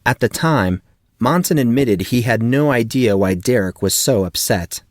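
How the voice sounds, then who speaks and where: A man's voice: medium pitch, lively intonation, moderate pace, no echo, clean studio sound. A man reading fiction aloud as a scripted audiobook narrator.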